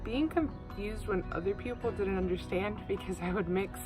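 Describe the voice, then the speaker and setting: A woman's voice: medium pitch, moderate pace. A nineteen-year-old woman from Oklahoma in an interview, talking thoughtfully with frequent ums and uhs. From Oklahoma, USA. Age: teens. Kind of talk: casual recorded interview. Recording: music playing underneath.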